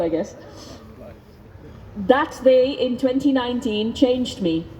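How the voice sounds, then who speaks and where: A woman reading a speech aloud at an outdoor vigil, speaking into a microphone in a measured, deliberate way. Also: on the high side, dark tone. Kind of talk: public speech read aloud at a rally. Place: outdoors at a vigil by prison gates. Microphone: handheld microphone. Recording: noisy outdoor PA with long echo.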